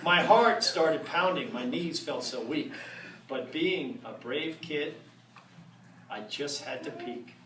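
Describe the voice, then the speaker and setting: A man's voice: a little high, expressive, slow. A man reading a rhyming picture book aloud, joking playfully between the rhymes. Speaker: reader at a storytime. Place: room with a live audience. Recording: echoey room from far off, noisy.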